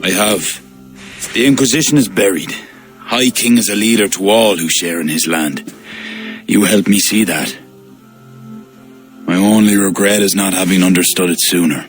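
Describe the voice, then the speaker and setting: A man's voice: low voice, moderate pace, long pauses, close to an everyday voice. An actor playing a proud but humbled king in a video game, earnest and formal in manner. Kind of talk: cinematic video game acting. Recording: faint game music behind the voice.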